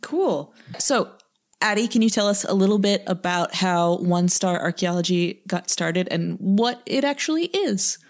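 Female podcast host, mid-pitched, enthusiastic, casual interviewing style. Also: animated, a little slow.

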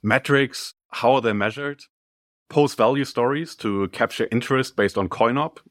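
A man reading a scripted business training article as narrator, in a clearly articulated, instructive way. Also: medium pitch, a bit bright, no echo, studio quality.

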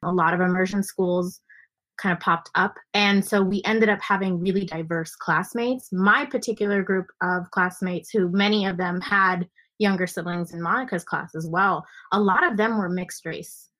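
A woman from San Francisco, a podcast guest, speaking in a thoughtful, measured, slightly hesitant way. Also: lowish voice, fairly bright tone. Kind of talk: casual interview.

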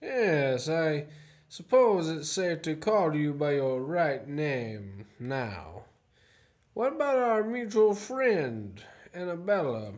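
A man acting in a student radio play as a courteous, menacing gentleman professor who is secretly a spy chief. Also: on the high side, big pitch swings, slow pace. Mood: coolly probing. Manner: courteous, genteel, theatrically menacing.

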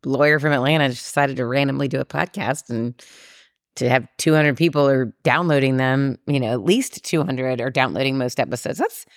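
A woman's voice: very deep voice, brisk, dry recording, studio quality. A woman chatting playfully as a podcast guest, asking the questions with easy fillers. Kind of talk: casual conversational podcast.

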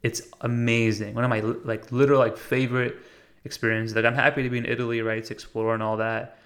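A man with an American accent talking casually and reflectively as a podcast host, earnest and informal, often pausing to search for words. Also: mid-range voice, flat delivery, a bit bright. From United States. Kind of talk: casual reflective monologue on meditation.

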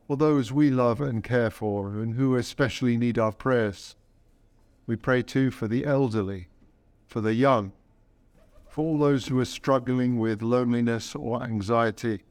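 Priest for a church service: man, smooth and unhurried, reverent prayer delivery, close dry sound. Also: mid-range voice, darkish tone, dry recording. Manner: reverent, measured phrasing with deliberate pauses.

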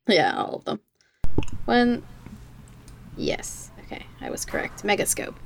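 A woman hosting a conversational podcast interview, speaking carefully and haltingly as she gets the details right. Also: medium pitch, huge pitch swings, creaky, a little hiss or hum.

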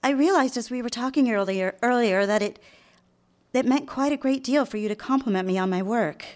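Casting: actress as eager young career girl secretary, polite, earnest, slightly high voice, radio play. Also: brisk, lightly acted. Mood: warm, hopeful. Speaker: radio drama actor. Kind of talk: live radio drama acting with some narration.

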